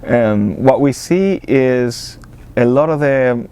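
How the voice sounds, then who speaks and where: A man with an Argentine accent speaks in a mellow, relaxed tone, answering interview questions at an ordinary pitch. He talks in a hesitant way, full of fillers and restarts. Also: slow pace, smooth. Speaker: interview guest, business accelerator director. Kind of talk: casual interview talk.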